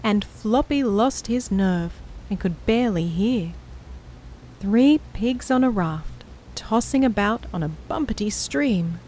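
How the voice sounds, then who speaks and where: A woman reading a picture book aloud to young children as a story-time host, in a measured, expressive storytelling manner. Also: medium pitch, slight background noise, poor audio. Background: music behind the voice.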